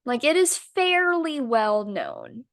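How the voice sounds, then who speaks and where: A woman's voice: on the high side, wildly swooping pitch, slow, gravelly, a bit bright, dry recording, studio quality. A woman co-hosting a casual podcast chat, sick with a voice that cuts in and out, blunt and swearing freely. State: sick, voice going in and out. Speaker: podcast co-host.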